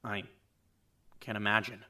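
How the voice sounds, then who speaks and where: A man voicing a calm, sympathetic young man who speaks in a measured way, in a fan audio drama. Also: lowish voice. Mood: gentle.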